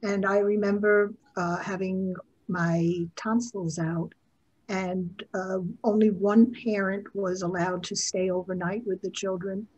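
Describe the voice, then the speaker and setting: A woman from New York with a husky voice, casually telling memories on a group video call. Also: medium pitch, flat delivery, a little slow, a little mellow, dull audio. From the Bronx and suburban New York. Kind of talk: casual personal storytelling.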